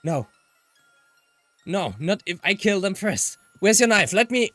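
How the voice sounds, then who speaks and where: A man's voice: high-pitched, lively intonation, unhurried, fairly bright tone, no room sound, faint music behind. A man who is a native German speaker voicing a distraught, hot-headed young visual novel protagonist in an emotional, exclamatory read-aloud. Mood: frantic, accusing.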